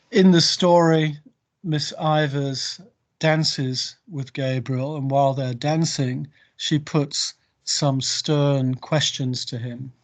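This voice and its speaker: A man lecturing on literature in a deliberate, emphatic way, over an online video call. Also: medium pitch. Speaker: university lecturer. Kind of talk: literature lecture with quotations read aloud.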